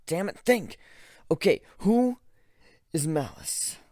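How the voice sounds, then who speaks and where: A volatile griffin hero from a dramatic story reading, acted out by a man. He is blunt and exclamatory, his voice pushed high and swinging widely. The sound is dry. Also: big pitch swings, slow, darkish tone, no echo, full character voice. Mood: agitated. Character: volatile, frazzled male griffin narrator-hero with a short temper.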